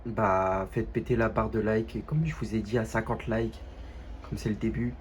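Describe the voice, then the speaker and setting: A young man with a stuffy, nasal voice from a cold, chatting casually and haltingly over gameplay at home. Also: lowish voice, fairly even intonation, slight background noise. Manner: halting, many fillers and restarts. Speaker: gaming youtuber.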